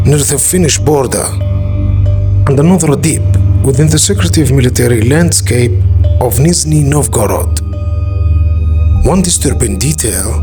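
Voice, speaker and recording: A man narrating a scripted suspense documentary in a dramatic, ominous way with deliberate pauses. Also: mid-range voice.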